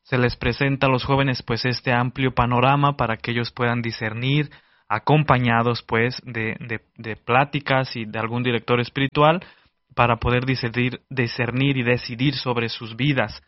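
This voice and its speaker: Male radio host, mid-pitched, measured pace; dull, dry studio sound. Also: fairly even intonation, no room sound. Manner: measured, frequent "eh" fillers. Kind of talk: radio talk show hosting.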